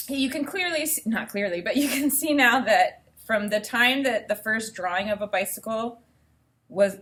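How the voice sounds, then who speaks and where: A woman teacher giving an instructional read-aloud, explaining and thinking out loud as she goes. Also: medium pitch.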